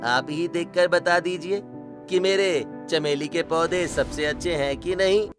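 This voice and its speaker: Pushy, proud male flower vendor for cartoon story actor, high voice, light background music. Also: measured pace, close to an everyday voice. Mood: confident pitch. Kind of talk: animated story dialogue.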